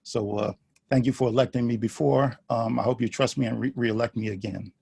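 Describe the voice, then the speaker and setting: A man giving an unprepared closing statement as a school board candidate, halting with many fillers and restarts, on a video call. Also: mid-range voice, steady tone, gravelly, darkish tone, breathy edge, no echo, clean studio sound.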